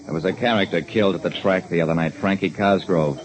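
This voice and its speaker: A man's voice: low voice, quick pace, lightly acted. An actor playing a terse, observant private detective, narrating his casebook in a clipped, dramatic 1940s radio style on a hissy old recording. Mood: terse, determined. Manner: dramatic, clipped hard-boiled storytelling.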